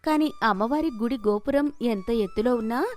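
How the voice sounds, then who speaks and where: Woman as poised, high-voiced young daughter-in-law. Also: a little mellow, lightly acted. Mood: calmly witty. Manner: composed, polite, quick-witted. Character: calm, witty, dutiful young daughter-in-law. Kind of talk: animated moral story character voice.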